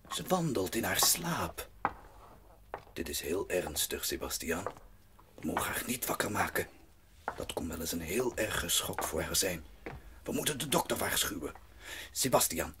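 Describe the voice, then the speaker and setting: A grave, commanding master of the house in a children's radio play. He speaks low and slowly with big swings in pitch, over clear noise. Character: grave, concerned wealthy gentleman, master of the house. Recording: clearly noisy audio play recording.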